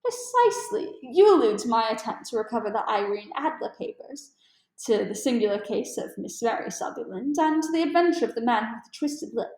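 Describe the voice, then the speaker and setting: A woman's voice: on the high side, sing-song, fairly quick, darkish tone, reverberant, rough recording. A woman voicing a keen, amused male detective with precise, formal and emphatic speech in a storybook read-aloud. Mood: animated, explanatory.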